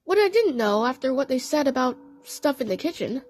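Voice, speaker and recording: A woman narrating a fan audio play as a first-person story, with a halting, dramatic delivery. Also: high-pitched, big pitch swings, darkish tone.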